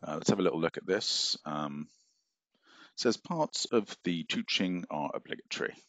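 A man's voice: sits low, animated, normal speed, fairly bright tone, no room sound. A middle-aged man giving a recorded university lecture from his office over a webcam, rambling with many fillers and restarts. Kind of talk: recorded lecture walking through a syllabus. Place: office at a desk, screen-sharing.

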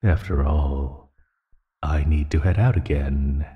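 Guy doing a super deep slow naga predator for asmr roleplay, smug teasing and menacing, big echoey reverb. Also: lo-fi sound. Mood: smug, casual. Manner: slow, taunting, condescending, with soft chuckles and sighs. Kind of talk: ASMR roleplay audio drama. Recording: long hollow reverb.

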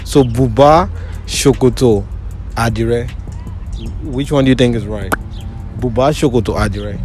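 A young man conducts a light street interview at an outdoor mall. He teases his guests and reads out answer options slowly, talking into a handheld phone. Music plays clearly in the background. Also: mid-range voice. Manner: teasing, playful, repeats options slowly.

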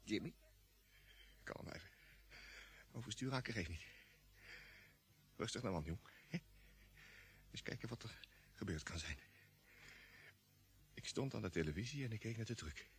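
An actor playing Jimmy, an excitable, easily panicked space crewman who stammers and repeats words in a Dutch radio drama. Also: fairly low pitch, moderate pace, pauses often. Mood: bewildered, self-calming.

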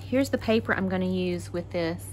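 A woman's voice: on the low side, moderate pace, a little hiss or hum. A woman from Texas hosting a casual crafting tutorial, chatty and thinking aloud as she works. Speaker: craft channel host.

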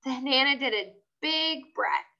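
A woman reading a children's story aloud, expressively and in a childlike, animated manner. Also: sits high, a little slow, dull audio.

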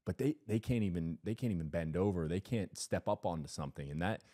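A man in his thirties, co-hosting a podcast in a studio and talking casually into a boom mic. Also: fast talker. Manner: rambling, animated, gestures a lot. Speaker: podcast co-host. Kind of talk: casual chat. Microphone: headphones and boom mic.

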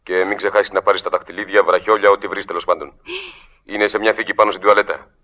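A cold crime boss in a radio drama, heard through a dull old recording. His low voice is commanding and businesslike. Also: flat delivery, sharp tone. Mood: cold, instructing. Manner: matter-of-fact, commanding. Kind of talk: radio play acting.

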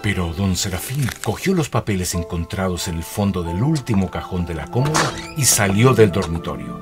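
A man's voice: low-pitched, big pitch swings, moderate pace, music in the background. A man narrating a radio drama in a scripted, dramatic storytelling style.

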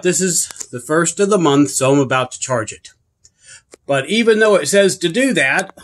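A plain-spoken man talks through a casual hands-on review. His voice sits at a middle pitch, and he sounds earnest, repeating points for emphasis. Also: a bit bright. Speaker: product reviewer and channel host. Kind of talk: casual product review and demonstration. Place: carpeted room indoors.

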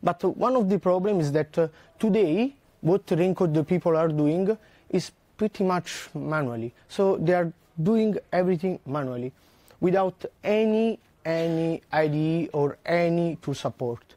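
A man, a researcher giving a conference talk in a lecture hall, earnest and full of "uh" pauses. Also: a little high, lively intonation, mellow. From southern Italy. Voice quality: frequent "uh" fillers and restarts, earnest.

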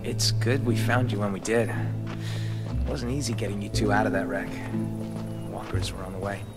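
An actor playing a wary but calm teenage leader of survivors in a video game, with an American accent and a casual, assured authority. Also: male voice, fairly low pitch, moderate pace, close to an everyday voice. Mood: sober, conversational. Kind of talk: video game drama acting. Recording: echoey hall reverb, music underneath. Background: music.

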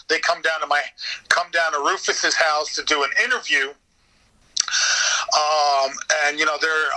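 A man's voice: a little high, pushed and bright, poor audio. An American man telling anecdotes in a casual, rambling, profane interview chat as a voice actor. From United States. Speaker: podcast guest, radio theater voice actor.

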